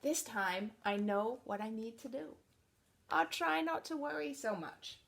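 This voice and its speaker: A woman reading a picture book aloud to children in an expressive read-aloud manner, dropping to soft, chatty asides about the pictures. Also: on the high side, measured pace, vocal fry. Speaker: storybook reader.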